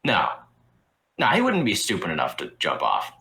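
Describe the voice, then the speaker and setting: A young man voicing a father in a sharply raised pitch, muttering to himself. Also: huge pitch swings, normal speed, gravelly, bright, forceful tone, exaggerated voice. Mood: unsure. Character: worried middle-aged father, dismissive.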